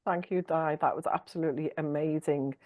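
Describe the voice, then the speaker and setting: A woman hosting an online forum who thanks one speaker and introduces the next in a brisk, upbeat way. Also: sits low, steady tone, darkish tone, dry recording. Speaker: forum host.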